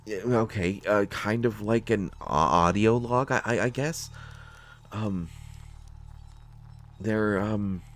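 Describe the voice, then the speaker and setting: Halting, low-voiced, nervous bookish survivor acted by a man. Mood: puzzled, awkward. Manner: hesitant, stammering, halting. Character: nervous, bookish male survivor of a sci-fi wasteland. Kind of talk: scripted sci-fi audio roleplay, solo acting.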